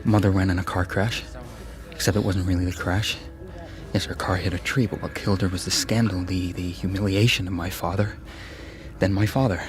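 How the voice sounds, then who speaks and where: A man's voice: deep voice, steady tone, music in the background, close to an everyday voice. A man as an earnest, distraught young student. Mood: grieving. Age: young adult. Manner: emotional, halting, pleading. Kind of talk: radio drama acting.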